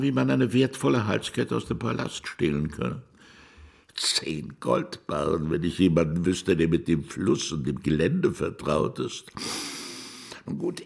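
An actor playing a wily, self-pitying crime boss in a radio play, gravelly and theatrical, swooping between wheedling and grumbling. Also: male voice, mid-range voice, heavily acted. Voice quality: gravelly, husky. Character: shady Chinese crime boss, wily, self-pitying, touchy about his reputation.

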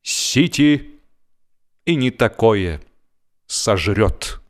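A blunt, bossy gentleman , played by a male narrator, from a comic audio story. He declaims emphatically, with a coarse and vulgar temper. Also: on the low side, animated, drawn-out words.

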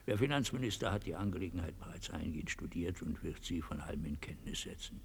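An actor playing an imperious, coldly courteous Latin American dictator in a radio play, husky, gravelly and formally menacing. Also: male voice, sits low, animated, fast talker, slight background noise. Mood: grave, calculating. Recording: echoey hall with long reverb.

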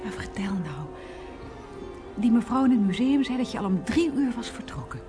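A woman's voice: fairly high pitch, very animated, moderate pace, mellow, soft background music. An actress playing an anxious girlfriend in a radio drama, questioning her man in a fretful, insistent way. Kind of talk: Dutch radio drama acting.

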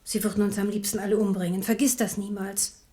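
A woman acting a proud, devout queen mother, embittered and resolute, with a grave, measured delivery in a German radio play. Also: mid-range voice, level tone, warm tone, natural voice. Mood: grave, warning.

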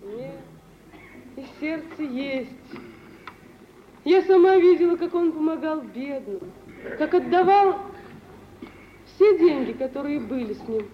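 Proud dreamy young woman, high voice, very emotional, old radio play, dull hissy sound. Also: lively intonation. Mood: fervent. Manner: theatrical, emotional, drawn-out delivery. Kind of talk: 1950s Soviet radio stage drama acting.

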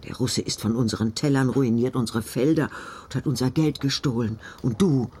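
A woman playing an aggrieved, devout old grandmother in a radio play, often dropping into breathy whispers as she speaks intimately. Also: very deep voice, normal speed, mellow. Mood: indignant.

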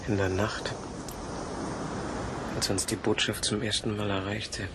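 A man's voice: on the low side, level tone, a little slow, close to an everyday voice. An actor playing a resolute, disillusioned male scientist in a German radio drama, terse and serious. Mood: brooding. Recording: noisy vintage radio play recording.

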